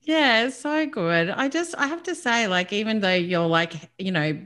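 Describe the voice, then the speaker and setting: A woman's voice: mid-range voice, expressive, normal speed, a bit bright, clear, resonant tone. A woman hosting a business podcast, talking with bubbly enthusiasm as she introduces her guest. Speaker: podcast host.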